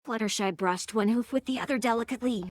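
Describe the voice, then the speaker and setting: A woman's voice: on the high side, fast, no room sound. A woman giving a scripted, storybook-style narration as the narrator of a tale.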